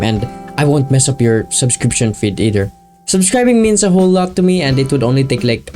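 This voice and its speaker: Male video essayist, mid-pitched voice, informal and self-deprecating delivery, soft plucked-guitar music underneath. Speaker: video essayist, channel host. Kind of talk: casual scripted video commentary. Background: guitar and plucked-string music.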